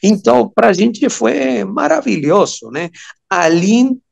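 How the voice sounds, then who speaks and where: A Venezuelan man with a Spanish accent giving interview answers, haltingly searching for words in his second language. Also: high-pitched, lively intonation, creaky, darkish tone. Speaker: interview guest, amateur radio operator.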